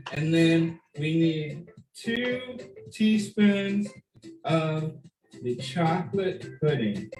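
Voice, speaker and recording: Slow-paced man guiding young children, roomy echo. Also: a little high. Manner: simple step-by-step phrasing with questions and pauses for the child. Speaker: children's story time host. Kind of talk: children's cooking demonstration, speaking directly to young viewers.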